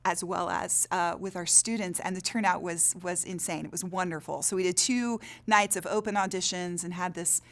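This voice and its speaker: A woman's voice: on the low side, steady tone, normal speed, few pauses, fairly bright tone. A woman, a drama teacher and director, talking in an interview in an enthusiastic, emphatic way. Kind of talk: interview recollection.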